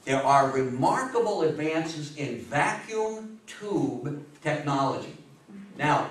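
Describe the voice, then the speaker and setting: A man in his sixties giving a public history talk, speaking in an emphatic, deliberate storytelling way with rhetorical questions. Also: fairly high pitch. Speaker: guest lecturer on history. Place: indoor meeting room with seated audience. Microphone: distant camera microphone. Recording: echoey room, distant mic, faint noise.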